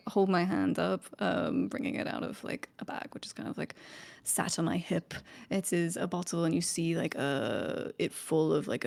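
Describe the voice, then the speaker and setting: A woman puts on a haughty, fancy lady for tabletop roleplay. The voice is mellow and breathy, often near a hush. She speaks slowly and hesitantly, with dry condescension. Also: mid-range voice. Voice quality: breathy, hushed. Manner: hesitant, condescending, breaks into small laughs.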